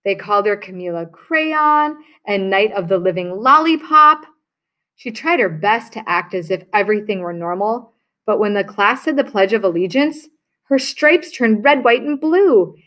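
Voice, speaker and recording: A woman teacher reading a children's picture book aloud to her class, telling it with expression. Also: medium pitch. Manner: slow, expressive storytelling.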